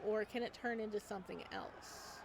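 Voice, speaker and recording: A woman's voice: mid-range voice, normal speed, gravelly, noisy background. A woman reading a visual novel aloud as a let's play host, with casual, amused asides.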